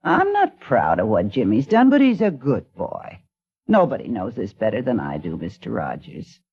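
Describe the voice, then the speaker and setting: A woman's voice: a bass voice, huge pitch swings, moderate pace, husky, full character voice. An actress playing a worried older widow who is raising her grandson, pleading earnestly for help in a vintage radio drama. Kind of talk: 1950s radio acting. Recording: dull lo-fi old radio broadcast.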